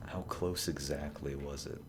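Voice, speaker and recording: A man's voice: rumbling low, steady tone, measured pace, noisy background. A man streaming a video game, chatting casually and jokily with lots of trailing fillers. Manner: rambling, jokey, trailing filler words. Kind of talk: casual chat while gaming.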